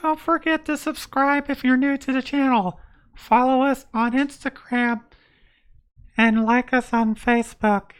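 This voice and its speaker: A woman's voice: sits high, steady tone, measured pace, clear, resonant tone, pitched above the performer's own voice. A woman putting on an exaggerated radio-announcer voice for a channel sign-off promo. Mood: showy, upbeat. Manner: exaggerated, projected promo delivery. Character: woman doing an over-bright radio announcer. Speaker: co-host.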